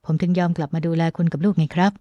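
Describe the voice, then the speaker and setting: A woman voicing a wounded, devoted young husband in a romance audiobook, gentle, earnest and reassuring. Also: lowish voice, steady tone, very fast, dry recording, dull audio, close to an everyday voice. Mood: earnest, loving.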